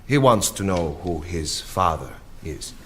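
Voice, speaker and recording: An actor playing a deferential, measured advisor in an audio drama, speaking formally and with careful politeness. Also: male voice, low voice, expressive, a little hiss or hum. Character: deferential, measured male advisor to a powerful protégé. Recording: loud music behind.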